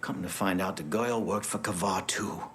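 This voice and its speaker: A man's voice: sits low, fairly even intonation, normal speed, a bit bright, a little hiss or hum. An actor playing an anxious, guilty male city clerk in a video game, hesitant and pleading. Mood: ashamed, anxious.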